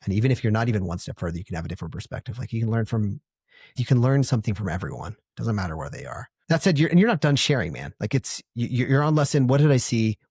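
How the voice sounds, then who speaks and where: A man's voice: fairly low pitch, big pitch swings, fast, vocal fry, darkish tone, husky, dry recording, studio quality. A man hosting a podcast, encouraging and enthusiastic, often stumbling and repeating words. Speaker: podcast host. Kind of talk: casual interview.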